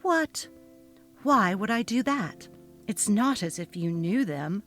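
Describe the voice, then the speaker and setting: A woman's voice: medium pitch, expressive, slow pace, soft background music. A woman voicing a haughty, narcissistic female office manager who talks down to her staff, in a dramatically read story. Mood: affronted. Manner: haughty, cutting, demanding. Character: snobby, imperious, narcissistic female office manager, cold and bullying. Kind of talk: dramatic reading of a text-message story.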